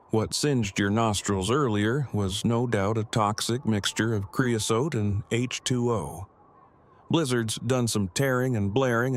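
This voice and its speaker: An actor playing a stiff, verbose, bookish man with deadpan, over-technical delivery in an audio drama. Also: lowish voice, close to an everyday voice. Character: stiff, bookish, verbose male survivor, dryly serious.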